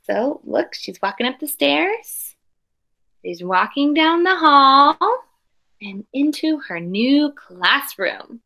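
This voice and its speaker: A woman's voice: sits high, sing-song, slow pace, vocal fry, muffled recording. A woman reading a picture book aloud to young children like a teacher, expressively, with explanatory asides and questions.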